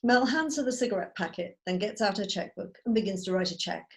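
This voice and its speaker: Casting: woman narrator, medium pitch, scripted stage directions, table read on a video call. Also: animated, fast.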